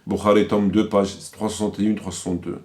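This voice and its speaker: A man's voice: on the low side, little pitch movement, fast, darkish tone. A man delivering critical commentary, quoting sources didactically and repeating his points for emphasis.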